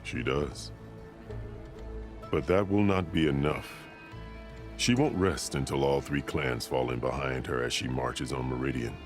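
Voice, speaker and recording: Very deep-voiced, solemn warrior chief. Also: male voice. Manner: formal, solemn, measured storytelling. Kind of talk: dramatic video game dialogue. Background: music.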